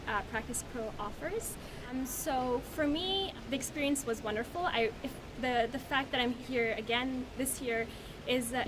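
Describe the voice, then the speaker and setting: Friendly, fairly high-voiced woman from Pakistan. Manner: friendly, chatty, with many fillers. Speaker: law student speaker. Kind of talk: speech at a reception. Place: reception event. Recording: noisy event recording.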